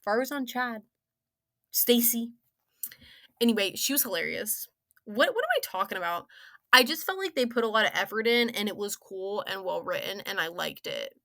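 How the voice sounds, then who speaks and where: Young woman chatting off the cuff about game stories, bright voice, giggly n slangy. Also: medium pitch, animated, normal speed, dry recording, studio quality. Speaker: video game story reviewer. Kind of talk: casual rambling commentary.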